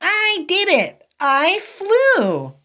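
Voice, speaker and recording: Picture-book piglet girl, acted by a woman: high, bouncy, exclamatory, stubbornly cheerful, swooping pitch. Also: wildly swooping pitch, sharp tone, heavily acted. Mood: delighted. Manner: exclamatory, drawn-out simple declarations. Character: eager, cheerful young girl pig determined to fly. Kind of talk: children's picture book read-aloud.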